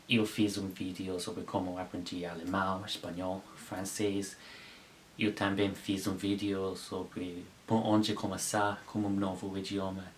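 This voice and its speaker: Teenage English boy, low-voiced learner. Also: a little hiss or hum. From England. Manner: careful learner's speech with frequent self-corrections and restarts. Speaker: language vlogger. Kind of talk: casual storytelling to camera.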